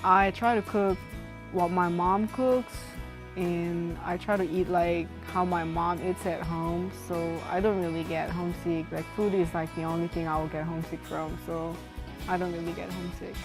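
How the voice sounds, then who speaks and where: A woman from South Korea, an architecture student, speaks casually and fills her sentences with "like". Her voice is lowish for a woman. Loud music runs behind her. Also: flat delivery, normal speed. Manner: casual, many "like" fillers.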